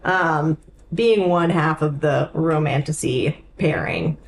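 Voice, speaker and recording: A woman with a lowish voice speaks as a podcast co-host. Her book talk is casual and informal, sprinkled with "like" and "um". A little background noise sits behind her. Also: slow, creaky. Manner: informal, peppered with "like" and "um". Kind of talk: casual chat about books.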